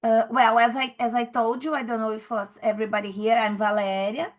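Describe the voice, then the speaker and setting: A middle-aged Brazilian woman, an anthropologist speaking English with a Brazilian Portuguese accent at a panel talk, halting often with restarts and fillers. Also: on the high side, little pitch movement.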